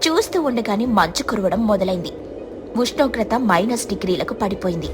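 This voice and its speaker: A woman's voice: medium pitch, lively intonation, brisk, music in the background. A woman reading scripted narration for a children's cartoon story.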